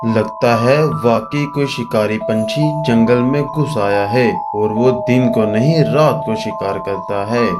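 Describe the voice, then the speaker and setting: An earnest, resolute crow from a children's cartoon, acted by a man, speaks in a medium-pitched male voice. He thinks aloud with concern and purpose, with music clearly playing behind him. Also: measured pace, few pauses, lightly acted. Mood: puzzled, determined. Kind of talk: Hindi children's cartoon dialogue.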